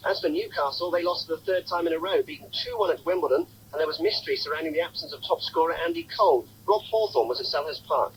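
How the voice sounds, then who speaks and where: A man's voice: a little high, fast talker, hardly any pauses, breathy edge. A man presenting a scripted sports bulletin on the radio. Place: radio studio. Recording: faintly noisy old radio broadcast.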